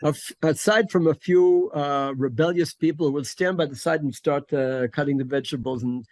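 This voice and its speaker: An older man from Connecticut, talking in a halting, filler-heavy way as an interview guest. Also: a little high, a little mellow, no echo, clean studio sound. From Connecticut, USA. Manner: halting, many fillers and restarts. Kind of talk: casual interview conversation.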